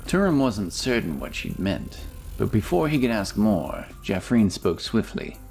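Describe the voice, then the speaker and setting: A man narrating a fantasy story in a measured, dramatic scripted style. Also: fairly low pitch, big pitch swings, gravelly, darkish tone. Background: music.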